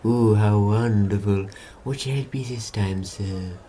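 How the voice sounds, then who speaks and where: A man's voice: lowish voice, measured pace, warm tone, a little hiss or hum, lightly acted. A man playing an obsequious, bloodthirsty henchman in a radio drama, with a servile, deferential manner. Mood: fawning, sinister. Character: obsequious, bloodthirsty male henchman to a scheming master.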